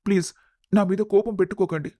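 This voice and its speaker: A man's voice: sits high, fairly even intonation, fast talker, warm tone, no echo, clean studio sound, lightly acted. A man voicing an earnest, respectful young company boss in a dramatized family story. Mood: remorseful.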